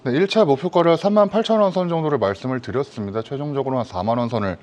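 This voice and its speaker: A man's voice: medium pitch, rapid-fire, a little mellow. A man giving stock commentary as a guest analyst on a broadcast, speaking in a formal, polite, hedging manner.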